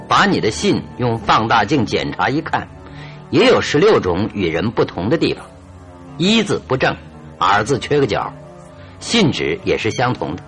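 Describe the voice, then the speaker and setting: An actor playing a shrewd, confident detective in a radio drama, speaking deliberately and with command. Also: male voice, lowish voice, huge pitch swings, fairly bright tone, heavily acted. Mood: commanding, explaining. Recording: radio drama with music underneath.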